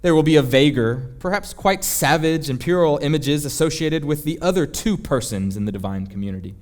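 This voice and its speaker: A man voicing a senior demon who instructs his apprentice in formal, deliberate, scheming words, as a dramatic book reading. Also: fairly high pitch, expressive, darkish tone, lightly acted. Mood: disdainful.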